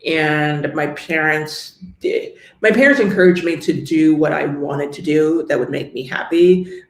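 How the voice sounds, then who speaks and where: A middle-aged woman from New York, a real estate agent, speaking as a guest in an interview with a measured, understated manner. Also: sits low, vocal fry, reverberant. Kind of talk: interview conversation.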